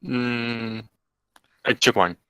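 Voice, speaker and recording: Guy playing a tabletop game, super bright sharp voice, dry close sound, curious and polite. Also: mid-range voice, extremely slow, clean tone, no echo. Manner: curious, polite, roleplaying in character. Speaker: player. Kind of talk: casual tabletop roleplay.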